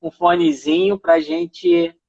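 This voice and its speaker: A man's voice: very high-pitched, very animated, slow, gravelly, a little mellow, muffled recording. A man hosting a live online chat for a dubbing school, friendly and asking his guest questions.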